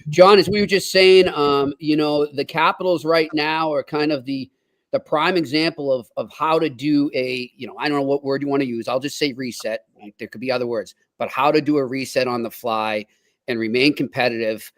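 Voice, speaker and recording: A man's voice: mid-range voice, steady tone, a little fast. A man hosting a sports podcast in a casual, colloquial talk-show way. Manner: colloquial, informal. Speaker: podcast host.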